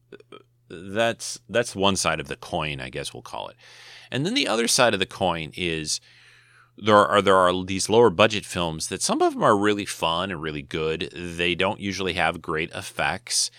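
A man's voice: sits low, sing-song, normal speed, sharp tone, no echo. A middle-aged man with a dry throat, hosting a casual solo podcast, rambling with many restarts and fillers. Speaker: podcast host.

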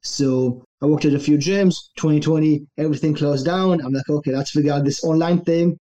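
A young adult man from Israel tells his story with an easygoing, relaxed manner. His voice sits a little high and sounds soft and mellow. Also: brisk, lo-fi sound. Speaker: guest, online fitness coach. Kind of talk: casual interview storytelling.

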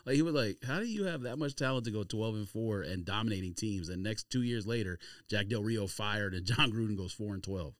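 A man's voice: fairly low pitch, a little fast, hardly any pauses, dry recording, studio quality. A man hosting a casual sports podcast, talking in a blunt, opinionated, slangy way. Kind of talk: casual sports banter and game picks. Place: small room or studio, in person.

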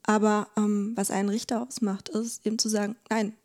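A woman's voice: mid-range voice, flat delivery, a little fast, a little mellow. A woman explaining things as a podcast interview guest, conversational and often searching for words. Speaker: interview guest, legal expert.

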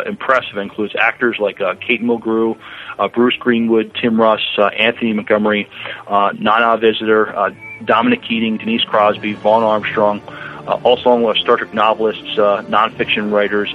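A man co-hosting a podcast, reading out a review haltingly and stumbling over words. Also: lowish voice. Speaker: podcast co-host. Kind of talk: semi-scripted TV review. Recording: slightly dull lo-fi sound.

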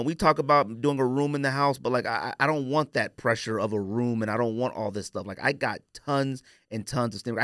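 A man's voice: medium pitch, a bit bright, no room sound. Candid, rambling man with a close, dry sound. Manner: rambling, candid, uses slang. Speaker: podcast co-host. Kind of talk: casual podcast chat.

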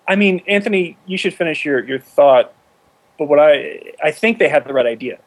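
A man's voice: a little high, expressive, moderate pace, dry recording. A man on a podcast panel, speaking in a casual debate among friends.

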